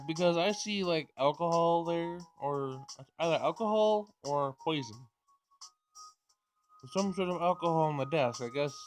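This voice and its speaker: A man with a slightly high voice reads a visual novel aloud in a casual let's play style. He often trips on words and repeats them. Game music plays behind him. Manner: stumbles over words and repeats them while reading.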